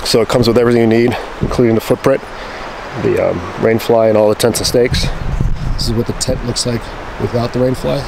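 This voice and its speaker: A man's voice: mid-range voice, steady tone, a little fast, creaky. A man in his thirties giving a casual, understated, unscripted gear review outdoors, speaking into a handheld camera at a campsite. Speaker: camping vlogger reviewing gear. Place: outdoors at a forest campsite, inside and beside a tent. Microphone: handheld camera at arm's length. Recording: outdoor camera audio with background noise, clicks.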